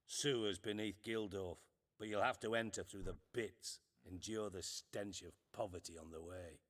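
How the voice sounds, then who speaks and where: A man's voice: fairly low pitch, fairly even intonation, moderate pace, fairly bright tone, close to an everyday voice. An actor playing a scheming, sardonic male spymaster in a game cutscene, delivering dry, menacing lines.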